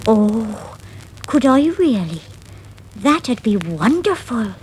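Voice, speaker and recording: A woman voicing a timid, naive little female country mouse in a children's story, squeaky and wide-eyed. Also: very animated, slow, darkish tone, slightly rough, exaggerated voice. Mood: wistful wonder. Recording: noisy 1960s vinyl record.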